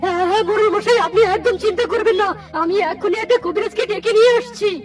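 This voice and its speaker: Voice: very high-pitched, fast talker, faint music behind. ভীষণ চিকন গলার চাটুকার পশু সাগরেদ. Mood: fawning concern. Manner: exclamatory, eager. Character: squeaky fawning animal sidekick of village chief. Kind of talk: cartoon voice acting.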